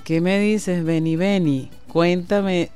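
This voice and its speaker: Picture a man hosting a radio show in the studio, talking at a very slow, deliberate pace with a slightly raised voice. He explains things patiently and stops often to search for the next word. Soft music sits low beneath him. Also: steady tone, clean tone. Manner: slow, explanatory, halting with fillers. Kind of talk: radio talk and interview.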